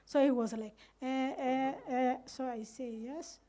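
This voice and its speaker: Earnest high-voiced Kenyan Somali woman. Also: steady tone, measured pace, dark tone. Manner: earnest, recounts events with quoted dialogue. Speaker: community radio manager on a panel. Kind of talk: panel talk, storytelling. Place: indoor room with curtains. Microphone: handheld microphone.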